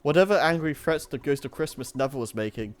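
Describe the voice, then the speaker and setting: A man's voice: mid-range voice, quick pace, soft background music. A man reading storybook narration with casual asides, often stumbling and correcting himself. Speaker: narrator of a streamed fan-fiction reading.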